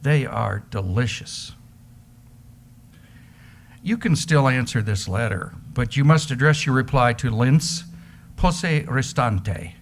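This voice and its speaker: A man's voice: medium pitch, animated, slow pace, pauses often. A man giving a theatrical reading of an affectionate letter. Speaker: reader in an amateur readers theater. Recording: background noise, live room ambience.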